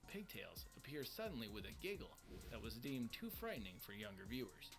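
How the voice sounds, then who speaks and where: A man reading a scripted countdown of cartoon facts in an even, read-aloud manner. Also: medium pitch, brisk, creaky. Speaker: list video narrator. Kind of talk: scripted narration. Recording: music bed under the voice. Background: background music.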